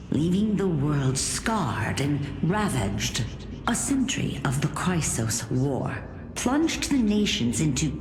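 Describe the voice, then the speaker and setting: An actress playing an aged, tender grandmother who tells old legends in a solemn, dramatic fantasy game style. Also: lowish voice. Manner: measured, dramatic, weighty phrasing. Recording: reverberant studio voice under music.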